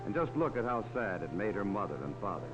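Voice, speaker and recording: Male narrator for a 1940s kids' safety film, lively and patient, dull hissy sound. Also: lowish voice, quick pace, loud music behind the voice. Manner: talks down to children. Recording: dull old film soundtrack with faint hiss.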